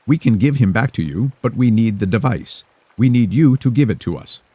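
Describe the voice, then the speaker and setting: A man voicing a calm, mysterious young woman who speaks formally and rhythmically. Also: lowish voice, slightly rough, dry recording, full character voice. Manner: formal, rhythmic, unhurried delivery.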